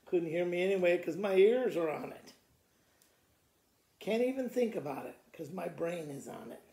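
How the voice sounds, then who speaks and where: An older man with an American accent reading children's poems aloud in a deliberate, expressive storytelling manner. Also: high voice, creaky. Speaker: school principal reading to pupils. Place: living room by a fireplace, indoors. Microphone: camera a few feet away. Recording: echoey room, camera mic at a distance.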